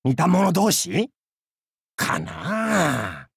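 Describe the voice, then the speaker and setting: An actor playing a boisterous, crude and goofy male pirate captain in a game, with a gravelly, husky voice and a hammy, theatrical, jokey way of talking. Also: fairly high pitch, huge pitch swings, drawn-out words, a bit bright, no room sound, studio quality, exaggerated voice. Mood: joking, rambling. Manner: hammy, theatrical, jokey otaku-style speech. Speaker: game character voice. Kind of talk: voiced game character lines.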